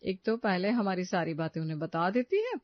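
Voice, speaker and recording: A woman's voice: on the low side, big pitch swings, a little fast, no echo, muffled recording. A woman playing an irritated young working woman chatting and complaining to a friend in a cartoon story.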